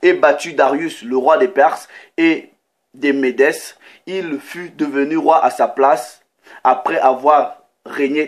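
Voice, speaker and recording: A man preaching in French, reading scripture aloud and stopping often to check in with listeners and comment. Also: a little high. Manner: reads scripture slowly, breaks in with "ok" and comments. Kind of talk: sermon with Bible reading.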